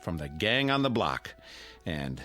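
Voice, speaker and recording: An American actor playing a genial, sentimental middle-aged neighbor who makes a halting, teasing after-dinner speech in a radio drama. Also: male voice, sits low, big pitch swings, pushed and bright, soft background music, full character voice.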